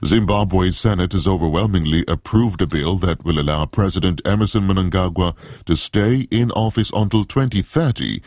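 A man with a very low voice reads the world news in a measured, formal way. The sound is close and dry, with no room around it. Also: a little mellow, no echo. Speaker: newsreader. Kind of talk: scripted news bulletin.

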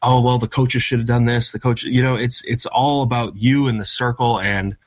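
A man's voice: mid-range voice, fairly even intonation, normal speed. An American man in his thirties from Michigan, a guest in an interview, answering in an earnest, reflective way. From United States (Michigan). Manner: earnest, reflective, with fillers and restarts.

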